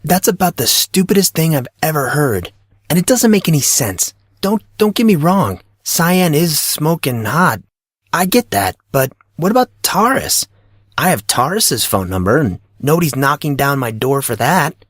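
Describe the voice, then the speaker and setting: An earnest, stubborn teenage boy played by a male narrator in a storybook reading, pitched well up from a man's natural voice. He protests with baffled, halting incredulity, and the sound is close and dry. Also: big pitch swings, dry recording. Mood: exasperated, baffled. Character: exasperated, earnest teenage schoolboy, naive and stubborn.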